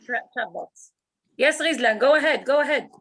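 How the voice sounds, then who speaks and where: A woman's voice: sits high, animated, moderate pace, fairly bright tone. A woman leading an online writing class on a video call, encouraging her students, laughing often and repeating herself as she talks.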